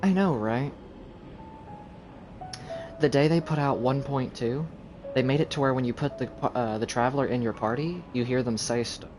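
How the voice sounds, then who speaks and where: Casual male streamer: mid-pitch voice, chatty and rambling, over game music. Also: moderate pace, pauses often. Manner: chatty, laughs along, rambles. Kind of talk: casual live gameplay commentary. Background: game soundtrack.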